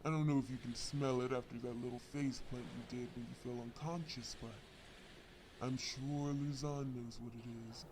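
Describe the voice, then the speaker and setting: A man's voice: medium pitch, fairly even intonation, normal speed, noisy background, natural voice. A man playing a possessive, mock-sweet crime villain in an audio roleplay, taunting with theatrical politeness. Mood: gloating, mocking. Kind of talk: scripted audio roleplay acting.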